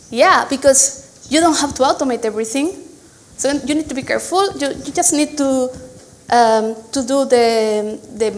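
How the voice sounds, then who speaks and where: A woman's voice: sits high, a little hiss or hum. A woman in her thirties from Spain with a Spanish accent, giving a chatty conference talk full of "so"s and restarts. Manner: chatty storytelling with many "so"s and restarts. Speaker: conference speaker. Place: conference hall.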